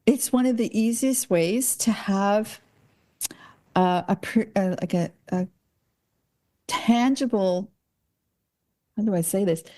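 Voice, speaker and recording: Mellow-voiced woman podcast host. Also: mid-range voice, slow, pauses often. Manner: searches for words mid-thought. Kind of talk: casual conversational interview.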